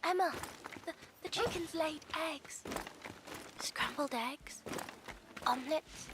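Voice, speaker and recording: Bubbly village girl gushing about hens, high voice, noisy game audio. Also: slow. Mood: excited. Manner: bubbly, excitable. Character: cheerful young woman villager delighted by her hens.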